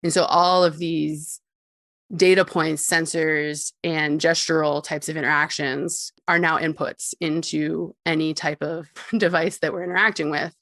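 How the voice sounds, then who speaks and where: A woman with a notably low voice talks as an interview guest. She explains things thoughtfully and conversationally, with casual fillers like "like". The sound is close and dry. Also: steady tone, measured pace, fairly bright tone, no room sound. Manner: thoughtful, articulate, peppered with "like" and small chuckles. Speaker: interview guest, tech company CEO and co-founder.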